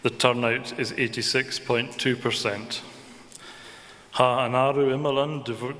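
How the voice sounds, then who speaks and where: A man's voice: mid-range voice, fairly bright tone. Man reading results in a reverberant hall. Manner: slow, measured official reading. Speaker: counting officer announcing results. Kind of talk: formal results declaration in Gaelic and English. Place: large count hall.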